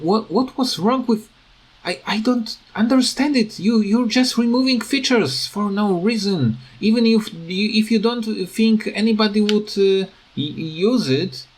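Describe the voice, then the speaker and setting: A man who speaks English as a second language, with a foreign accent, giving rambling, halting, unscripted commentary as a gaming video creator. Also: high-pitched, breathy edge, rough recording. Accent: non-native English. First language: not English. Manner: hesitant, halting, repeats words.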